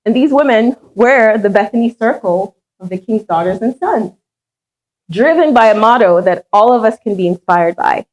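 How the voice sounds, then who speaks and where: A woman's voice: mid-range voice, a little mellow, low-quality recording. A middle-aged woman who grew up in France and whose first language is French, leading a charitable foundation and giving an earnest story-driven speech with a touch of self-deprecating humor. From Ghana, raised in France. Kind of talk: public speech at a meet and greet.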